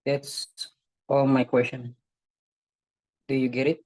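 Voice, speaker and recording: A man's voice: medium pitch, little pitch movement, takes it slowly, a little mellow. A young man informally asking a question in an online class, hesitant and repeating himself. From Indonesia.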